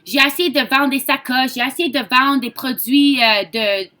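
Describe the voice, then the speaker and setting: Bright, high-voiced Franco-Ontarian woman. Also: normal speed. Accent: Franco-Ontarian French. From Ontario, Canada. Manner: chatty, informal, mixing in English words. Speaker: direct-sales consultant. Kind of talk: casual sales pitch to camera.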